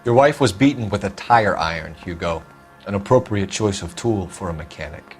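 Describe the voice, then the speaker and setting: Male, driven 1940s detective for a crime game. Clipped, formal, insistent, with highly varied intonation. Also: animated, moderate pace, soft background music. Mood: accusing. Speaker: video game voice actor. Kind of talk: dramatic period crime game acting.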